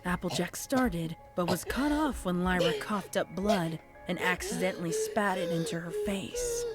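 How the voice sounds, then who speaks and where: A woman's voice: on the low side, sing-song, moderate pace, over loud music. A woman reading a scripted horror story as a dramatic narrator.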